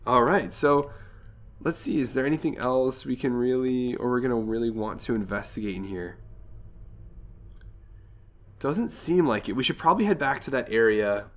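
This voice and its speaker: A man's voice: mid-range voice, normal speed, pauses often. A young man hosting a let's play, chatting casually and thinking aloud as he plays, his voice a little nasal from a cold. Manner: chatty, thinks aloud, reads game text aloud. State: has a cold, slightly nasal. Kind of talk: casual gameplay commentary.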